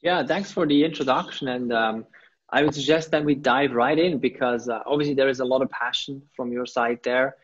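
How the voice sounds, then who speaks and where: A man's voice: mid-range voice, a little fast, slight background noise. A man hosting an interview show, speaking with enthusiasm and often repeating himself. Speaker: podcast host. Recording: dull lo-fi audio.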